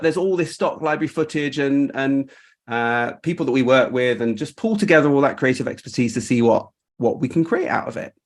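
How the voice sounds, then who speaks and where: A man hosting a casual group video call, talking in an informal, rambling and appreciative way. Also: medium pitch. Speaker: host. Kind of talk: casual chat, reflecting on a creative project.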